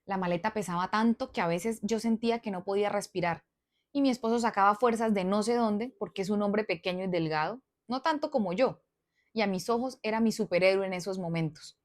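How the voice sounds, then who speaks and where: A woman's voice: mid-range voice, brisk. Woman reading a story with chatty asides. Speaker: storyteller reading followers' stories. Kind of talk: reading a viewer's submitted story aloud.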